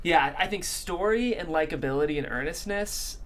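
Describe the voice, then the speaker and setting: Deep-toned woman in relaxed TV discussion. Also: normal speed. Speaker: panelist on a discussion show. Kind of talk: casual chat, analysing a TV script.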